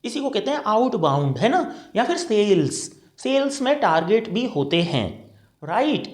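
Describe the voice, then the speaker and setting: A man's voice: sits high, big pitch swings, a little slow, roomy sound. A man with an Indian accent, a career trainer explaining patiently and checking that his listeners follow. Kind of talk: classroom-style explanatory talk.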